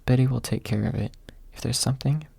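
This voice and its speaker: A man voicing a haughty, prim little girl spirit in a soft storybook reading. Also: medium pitch, quick pace, vocal fry, slightly rough, dry recording.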